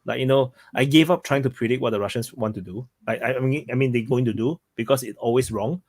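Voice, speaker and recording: A man's voice: mid-range voice, fast talker, darkish tone, dry recording. A man hosting a casual online talk show, friendly and often repeating himself. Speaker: host. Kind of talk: casual chat.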